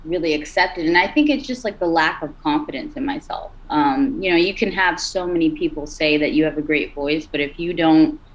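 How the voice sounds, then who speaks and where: A woman singer with a raspy, rugged voice, chatting as a podcast guest, loose and full of "like" and "you know". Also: low-pitched, normal speed, hardly any pauses, rough recording. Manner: relaxed, many fillers like "like" and "you know". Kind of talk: casual interview talk.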